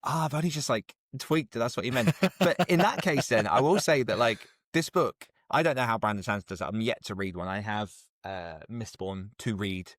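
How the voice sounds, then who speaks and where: A man with a lowish voice, recorded with almost no room sound. He chats as an interview host in an informal, amused, joking way. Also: fairly quick, few pauses, no echo, clean studio sound. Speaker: podcast host. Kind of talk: casual interview chat.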